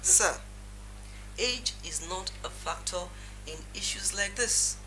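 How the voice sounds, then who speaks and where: A formal, matter-of-fact doctor in a film drama. His voice is a little high and his manner measured, with clear music playing behind him. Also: pushed and bright, exaggerated voice, low-quality recording. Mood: grave.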